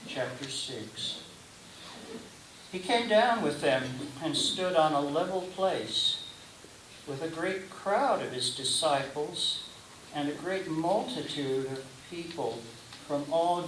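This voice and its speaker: A man reading scripture aloud in a solemn liturgical style, with deliberate, declamatory phrasing and pauses between short phrases. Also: on the high side, a bit bright. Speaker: scripture reader. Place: roomy indoor church gathering space. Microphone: distant microphone. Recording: distant mic, echoey room, clear hiss.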